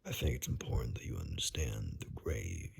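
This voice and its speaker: Man playing a very husky, low whispering forest guide. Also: very animated, exaggerated voice. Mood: grave, explanatory. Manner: hushed, halting, gently reassuring. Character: cautious male forest dweller guiding a lost stranger to safety. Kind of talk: whispered ASMR roleplay.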